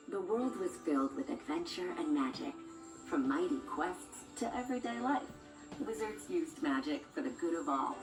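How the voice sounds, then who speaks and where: A woman reading a scripted children's storybook as a read-along narrator, with music behind her. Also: on the low side. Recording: roomy, distant sound with music underneath.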